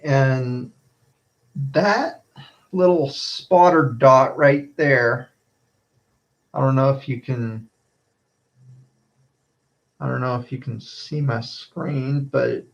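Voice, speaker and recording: A man doing casual live storm spotter commentary for his stream, halting and repeating phrases as he addresses viewers. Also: mid-range voice, vocal fry, dull audio.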